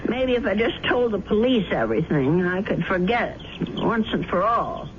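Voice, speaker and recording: A woman's voice: mid-range voice, sing-song, normal speed, lightly acted. An actress playing a fretful, motherly and stubborn elderly landlady in a chatty, earnest radio drama style. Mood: thoughtful. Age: older. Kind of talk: 1970s radio drama acting. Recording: noisy, muffled old radio broadcast.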